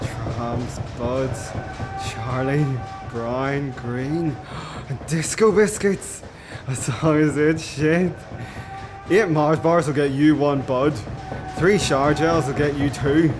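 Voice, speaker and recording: A man's voice: fairly high pitch, takes it slowly, over music. An actor playing a carefree drug-using prisoner, relishing his monologue in a radio play. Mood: gleeful, dreamy.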